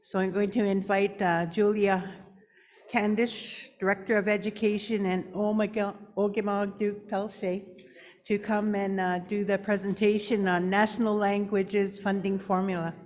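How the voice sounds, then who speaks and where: Woman, meeting chair, mid-pitched, announcing items, fillers, hall reverb. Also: flat delivery, measured pace, a little mellow. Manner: introduces items with hesitant fillers. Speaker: assembly speaker and chair. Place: large assembly hall.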